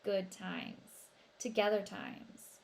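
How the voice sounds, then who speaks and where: A woman narrating a children's novel as an expressive read-aloud. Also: medium pitch, extremely slow, creaky, low-quality recording.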